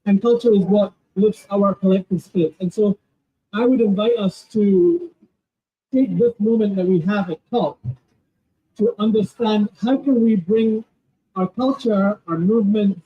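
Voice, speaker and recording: A man whose first language is Gujarati speaks on a panel in a high voice. He talks earnestly and reflectively, often restarting his phrases. The audio is thin and phone-like. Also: measured pace, breathy edge. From Kenya. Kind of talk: panel discussion.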